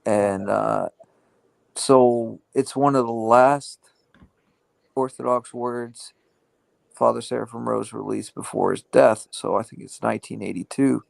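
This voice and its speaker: A man hosting a casual livestream chat, rambling and halting with many fillers. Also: medium pitch, steady tone, creaky, darkish tone, dry recording. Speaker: livestream host.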